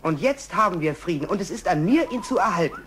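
A man's voice: sits high, expressive, quick pace, a bit bright, slight background noise. An actor playing a noble, peace-loving young male lion, earnest and resolute, in a children's audio drama. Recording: music bed under the dialogue.

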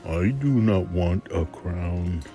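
A man voicing a timid, lonely storybook frog, scratchy and halting, earnest in his pleading. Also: low voice, lively intonation, mellow, faint music behind, pitched below the performer's own voice.

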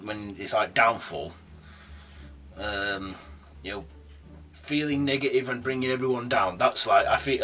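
A man's voice: medium pitch, fairly bright tone. Rambling young man. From Yorkshire, England. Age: 20s. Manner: rambling, with restarts, reading questions aloud. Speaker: YouTuber. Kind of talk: casual tag video. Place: room at home, indoors.

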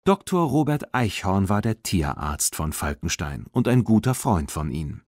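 Guy narrating a kids audiobook, lowish voice, really animated storytelling, dry studio sound. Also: moderate pace, no room sound. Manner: expressive storytelling. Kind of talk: scripted children's audiobook narration.

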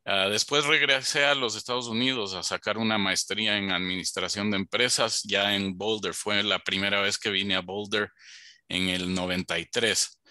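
Brief: man in his fifties, from Ecuador, video-call panelist, methodical storyteller, bright tone, dry headset sound. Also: on the low side, fairly even intonation, moderate pace, no room sound. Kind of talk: personal introduction on a video call. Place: home office on a video call.

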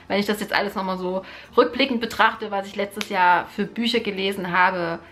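A woman's voice: medium pitch, fairly even intonation, moderate pace, a bit bright. A young woman from Germany talking casually and enthusiastically about a book series, with lots of fillers and repeated words, in a living room close to the camera. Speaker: book vlogger. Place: living room in front of a sofa.

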